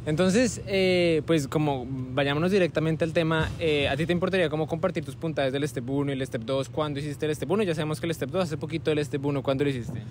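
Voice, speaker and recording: A man's voice: on the high side, fast talker, few pauses. Young guy hosting a loose campus interview, lots of "como" and "eh", outdoor street noise. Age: young adult. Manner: relaxed, conversational, many fillers like "como" and "eh". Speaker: host, medical student interviewer. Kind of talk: casual improvised interview. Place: outdoors on a university campus plaza with trees.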